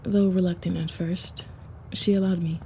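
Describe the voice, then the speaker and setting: A woman narrating a first-person story for an intimate roleplay audio in a soft, measured way. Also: lowish voice, flat delivery, a little hiss or hum.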